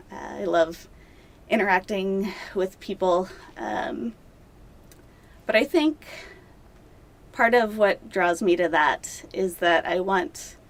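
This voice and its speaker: A young woman, a doctoral candidate, talking casually in an interview, chatty and self-deprecating with many fillers, seated in a dark studio. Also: on the low side. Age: young adult.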